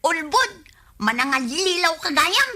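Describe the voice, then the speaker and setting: Nangato ti timekna a balasang. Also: female voice, very high voice, big pitch swings, a little slow, pushed and bright, a little hiss or hum, exaggerated voice. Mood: indignant. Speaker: radio drama actor. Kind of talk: comedic Ilocano radio drama acting.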